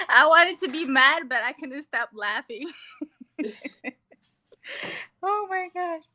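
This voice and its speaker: A woman's voice: high voice, very animated, extremely slow, long pauses, pushed and bright. A woman from Ethiopia, speaking English as an additional language, chatting casually as a caller on a live stream over a video call. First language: not English, which is at best her fourth language. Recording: noisy online call with clicks.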